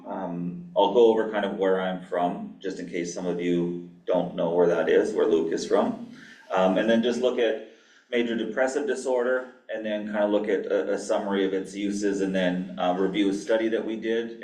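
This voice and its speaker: A man from Canada giving an academic lecture as a guest pharmacy professor, with a flat monotone delivery, dry asides and many ums. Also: on the low side. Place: lecture hall. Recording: echoey hall, mic far off.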